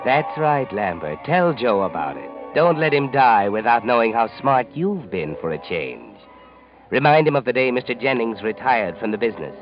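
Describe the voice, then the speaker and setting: An American actor playing a sardonic, ominous, all-knowing narrator who taunts characters in a 1940s radio mystery. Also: male voice, medium pitch, big pitch swings, moderate pace, natural voice. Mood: sardonic goading. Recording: dull vintage 1940s radio recording. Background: dramatic music.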